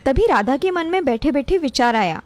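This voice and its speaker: A woman's voice: sits high, animated, moderate pace, a little mellow, no room sound. A woman narrator reading a scripted moral story for a cartoon. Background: music.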